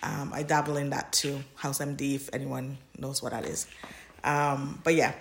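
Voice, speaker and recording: A woman's voice: rumbling low, little pitch movement, normal speed, slight background noise. A woman co-hosting a podcast, chatting casually and informally about fan fiction in a giggly, rambling way. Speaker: podcast co-host.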